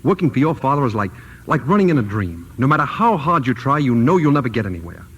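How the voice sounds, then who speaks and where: A fast-talking, earnest husband in an old radio play. His mid-pitched voice is clipped and urgent, that of a proud man under his wife's thumb, on a noisy vintage recording. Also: big pitch swings, husky, highs cut off. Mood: defiant, frustrated. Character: frustrated young husband, proud yet henpecked, earnest. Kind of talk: old-time radio drama acting.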